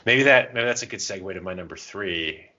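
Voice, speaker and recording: A man with a low but bright voice talks casually as a podcast co-host. He rambles and thinks out loud as he goes. The recording is a little dull and lo-fi. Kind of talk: casual chat about tabletop roleplaying and comics.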